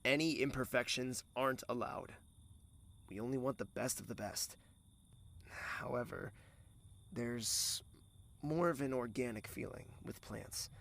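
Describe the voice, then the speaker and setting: An actor playing a gentle, wistful young man in an audio drama, soft-spoken and teasing. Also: medium pitch, unhurried, gravelly, long pauses, slight background noise.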